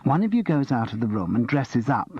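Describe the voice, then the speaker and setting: A man voicing a chatty, gossipy middle-aged woman with a sharp edge, husky and slightly dull-sounding. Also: medium pitch, very animated, fairly quick, no echo. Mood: gushing.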